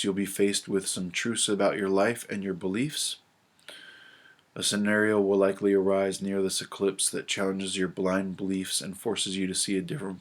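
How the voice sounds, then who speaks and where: A man with a deep, steady voice that barely rises or falls, presenting from his notes in a relaxed way. His nose is stuffed up from a cold, so every word comes out nasal. He stops and restarts often and fills the gaps with ums. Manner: halting, many ums and restarts. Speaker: astrology video presenter. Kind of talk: casual talk to camera, reading notes.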